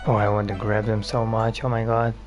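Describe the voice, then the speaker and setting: A man's voice: fairly low pitch, completely flat delivery, fairly quick, music in the background. A man giving casual gameplay commentary, reacting bluntly and with some swearing. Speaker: gamer, streamer.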